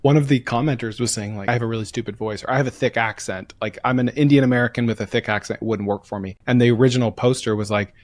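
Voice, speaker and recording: Male co-host, average pitch, relaxed conversational. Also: quick pace, hardly any pauses, no echo. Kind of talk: casual chat.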